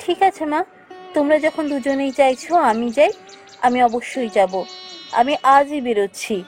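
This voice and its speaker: Woman as a high-voiced, brave young princess. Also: normal speed, darkish tone, faint music behind, close to an everyday voice. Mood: reassuring, resolute. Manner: reassuring, earnest. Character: brave, dutiful young princess, warrior daughter of a king.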